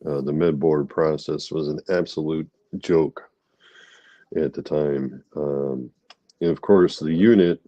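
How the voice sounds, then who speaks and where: Brief: male guest, middle-aged, stuffy from a cold, deep and mellow, hesitant with many ums, flat dry muffled sound. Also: no room sound. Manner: halting, many fillers and restarts. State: congested from a cold. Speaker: guest, army veteran. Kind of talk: candid interview talk.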